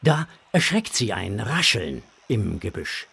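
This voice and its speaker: A man's voice: lowish voice, sing-song, slow pace, gravelly, pushed and bright, a little hiss or hum. A man narrating a children's audio drama from a script.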